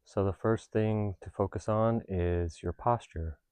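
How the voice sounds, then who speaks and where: A man with a deep, soft-edged, mellow voice leads a guided meditation. He speaks calmly and reassuringly, taking his time over each gentle instruction. The sound is dry, with no room around the voice. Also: clear, resonant tone, no room sound. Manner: calm, unhurried, reassuring. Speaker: meditation teacher.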